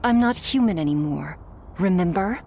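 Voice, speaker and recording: A woman's voice: on the low side, animated, moderate pace, a little mellow, slight background noise. A woman playing a sly, taunting, thirsty vampire outsider in a scripted audio drama, teasing and smug. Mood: menacing, teasing.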